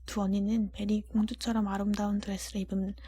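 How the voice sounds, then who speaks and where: A woman whispering a storybook in a breathy voice, gently and deliberately, as an ASMR reading. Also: mid-range voice, flat delivery. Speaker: audiobook-style narrator.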